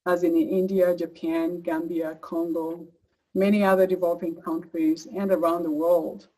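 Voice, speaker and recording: A woman's voice: fairly low pitch, level tone, normal speed, warm tone, low-quality recording. A woman from Uganda with an East African accent gives a prepared personal speech as an advocate, speaking earnestly.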